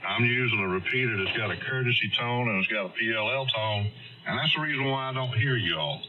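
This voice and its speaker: A man's voice: medium pitch, expressive, brisk. A middle-aged or older man with a Southern US accent telling stories casually on ham radio in a plain-spoken, folksy way. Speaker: amateur radio operator. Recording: dull, noisy radio transmission.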